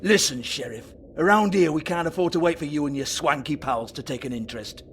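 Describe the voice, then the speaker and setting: A man's voice: a little high, a little fast, fairly bright tone, a little hiss or hum. An actor playing a bullying, sleazy male brothel boss in a video game, swearing, mocking and berating. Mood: taunting. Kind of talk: video game cutscene acting.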